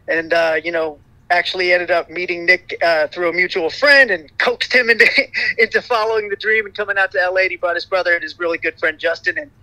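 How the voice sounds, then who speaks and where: A middle-aged man talks casually as an interview guest. His voice sits high and sounds very bright and cutting, and it stays light all the way through. The recording is dry and close, with no room sound behind him. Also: fairly even intonation, fairly quick, no echo, rough recording. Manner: rambling, many "you know" fillers and restarts. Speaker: interview guest, singer in a vocal group.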